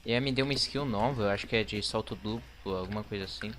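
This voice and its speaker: A man's voice: fairly low pitch, racing, fairly bright tone. A man with a Brazilian Portuguese accent giving casual gameplay commentary as a let's play host. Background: game music.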